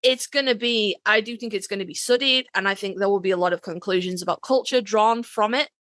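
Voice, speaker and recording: A woman's voice: medium pitch, brisk, fairly bright tone, no echo, studio quality. A woman chatting casually as a podcast host, emphatic and swearing freely. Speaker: author.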